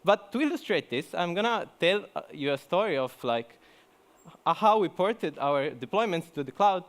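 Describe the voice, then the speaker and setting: A young man speaks to a conference audience in a relaxed, joking way. His voice sits a little high, and he often restarts his sentences and fills pauses with "uh" and "um". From Italy. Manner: chatty, many fillers and restarts. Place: conference hall.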